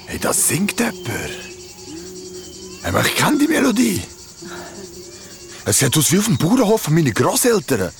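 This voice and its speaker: Young man in a radio thriller, high voice swinging up and down, agitated, guilt-ridden. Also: wildly swooping pitch, moderate pace, long pauses, music in the background, full character voice. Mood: bewildered. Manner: agitated, emotional. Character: anxious, guilt-ridden trapped young man, a loyal grandson. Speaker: actor in a radio drama. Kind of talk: thriller radio drama acting.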